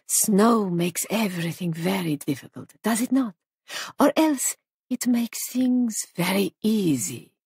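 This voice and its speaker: Woman playing a sly, theatrical elderly foreign gentleman. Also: mid-range voice, lively intonation, vocal fry, breathy edge, no room sound, studio quality, heavily acted. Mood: insinuating. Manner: theatrical, insinuating, drawn-out phrases. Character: elderly foreign man, sly, gleeful, menacingly courteous.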